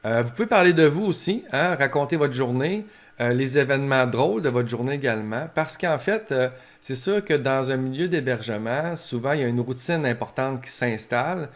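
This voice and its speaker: A man's voice: mid-range voice, lively intonation, moderate pace. A man with a Québécois French accent giving an informal instructional talk as a professor, explaining in colloquial, practical language. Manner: explanatory, colloquial, acts out short examples. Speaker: professor giving advice to family caregivers.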